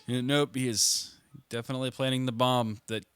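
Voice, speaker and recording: A man's voice: medium pitch, fairly even intonation, moderate pace, a bit bright, clear, resonant tone, no echo. A man co-hosting a podcast in casual chat, congested with a head cold, joking and laughing often. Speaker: podcast co-host.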